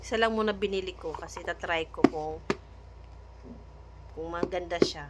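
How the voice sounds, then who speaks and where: Filipina vlogger doing a casual unboxing, kinda low voice, noisy background. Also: female voice, normal speed. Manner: informal, thinking aloud, mixing in English words. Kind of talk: casual unboxing vlog chat.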